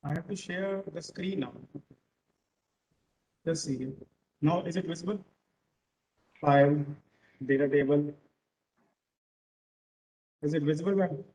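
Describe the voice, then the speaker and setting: Punjabi-accented man lecturing, halting. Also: a little high, mellow, low-quality recording. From Punjab, India. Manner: hesitant, many filler pauses. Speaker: guest lecturer. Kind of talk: online lecture. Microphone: video call.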